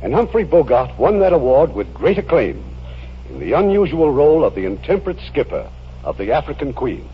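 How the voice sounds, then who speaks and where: 1950s radio host guy, formal announcing and narration, very animated pitch, muffled old broadcast. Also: fairly quick, slightly rough. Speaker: radio show host and producer.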